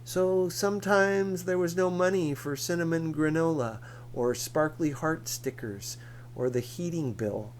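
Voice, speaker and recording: A man's voice: on the high side, a little slow. Man reading a novel aloud, noisy recording. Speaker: audiobook reader. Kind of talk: scripted narration of a novel, read aloud. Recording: clearly noisy recording with hum.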